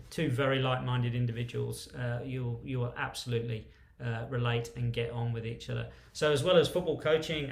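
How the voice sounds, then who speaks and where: A man's voice: medium pitch, a bit bright. A man hosting an interview, speaking effusively with emphatic superlatives as he introduces and talks to a guest. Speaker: interview host. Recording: roomy, echoey sound.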